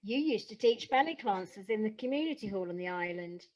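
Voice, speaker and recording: A woman's voice: medium pitch, lively intonation, a little fast, a little mellow, lightly acted, rough recording. A woman playing an anxious, self-doubting stage actress, earnest in an audio play. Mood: wry, reflective.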